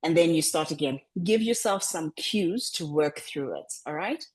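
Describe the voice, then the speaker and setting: A woman coaching public speaking over a video call, talking in a deliberately lowered register and often repeating words as she restarts. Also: lively intonation, darkish tone. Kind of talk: online coaching session.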